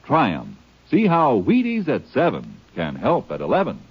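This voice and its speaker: A man's voice: mid-range voice, huge pitch swings, moderate pace, loud music behind the voice. A man doing folksy, emphatic sales patter as a commercial announcer on vintage radio. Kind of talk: vintage radio cereal advertisement. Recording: muffled old radio recording.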